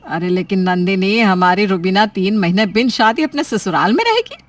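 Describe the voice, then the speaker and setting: A woman's voice: mid-range voice, expressive, moderate pace, no echo, close to an everyday voice. A woman playing an anxious, scolding middle-aged mother in story dialogue, fretful and complaining. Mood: concerned.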